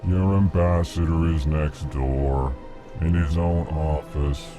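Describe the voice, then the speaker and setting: Super deep slow alien giant, calm monotone diplomat, game dialogue. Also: male voice. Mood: placid, ponderous. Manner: monotone, ponderous, states his tone before speaking. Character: huge, slow, placid male alien diplomat. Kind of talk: video game character dialogue. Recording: music behind the voice.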